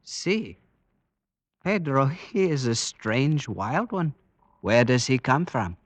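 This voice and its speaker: Slow, solemn blind villager. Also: male voice, medium pitch, sing-song, no echo. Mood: puzzled, disdainful. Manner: solemn, deliberate, stilted. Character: puzzled, disdainful blind male villager of isolated valley. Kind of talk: old-time radio drama acting.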